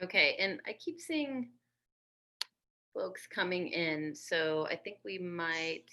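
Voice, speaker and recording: Slow, bright-voiced woman organizer. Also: fairly low pitch. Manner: distracted, halting. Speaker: workshop organizer. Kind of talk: casual meeting chat.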